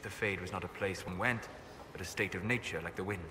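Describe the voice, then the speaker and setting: An actor playing a calm, scholarly elven mage in a fantasy game, speaking in a measured, formal, lecturing manner. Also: male voice, sits low, background noise, close to an everyday voice. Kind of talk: scripted fantasy game dialogue.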